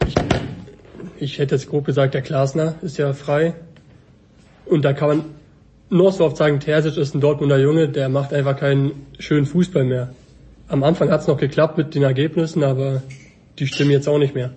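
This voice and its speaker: A man with a husky, mellow, slightly raised voice weighs in on a football question. He states his view plainly, without much fuss. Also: on the high side, little pitch movement, moderate pace. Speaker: panel guest. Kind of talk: casual football debate.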